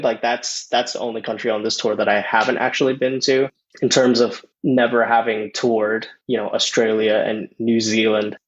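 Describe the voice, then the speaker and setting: Laid-back young guy, lo-fi call audio. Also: medium pitch. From Thailand. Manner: laid-back, many fillers like "dude" and "man". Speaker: guest musician. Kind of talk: casual interview chat.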